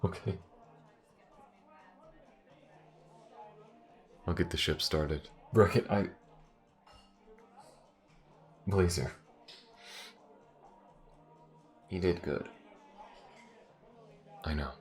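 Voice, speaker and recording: A man playing a loyal, grieving spaceship smuggler in an audio roleplay, with a halting, earnest, emotional delivery. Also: low-pitched, soft background music. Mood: distraught, pleading. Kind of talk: dramatic audio roleplay acting.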